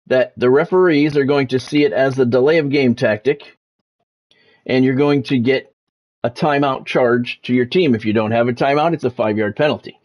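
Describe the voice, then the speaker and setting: A man's voice: mid-range voice, normal speed, a little mellow, muffled recording. A man hosting a livestream in a casual way, giving sports news and often stopping to repeat words and phrases. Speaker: livestream host, former radio play-by-play announcer.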